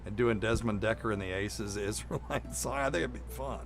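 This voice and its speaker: A man's voice: on the low side, a little fast, over music. A middle-aged man, chatting casually and unscripted as a show host. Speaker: show host and amateur musician.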